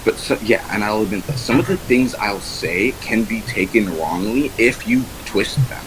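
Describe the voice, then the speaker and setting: A man's voice: on the low side, moderate pace, background noise. A young man talking casually and defensively, insistent and self-justifying, on an archived voice call.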